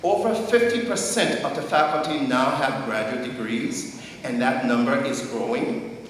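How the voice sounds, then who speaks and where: A man's voice: mid-range voice, moderate pace. A man from Liberia giving a formal tribute speech, emphatic and repeating key points for stress. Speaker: speaker presenting an honoree. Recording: echoey hall, faint noise.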